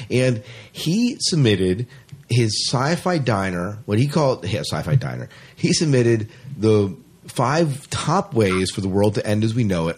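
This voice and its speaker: Male podcast host, deep and slightly rough voice, chatty and keen, casual geek-show banter. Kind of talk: casual sci-fi podcast chat.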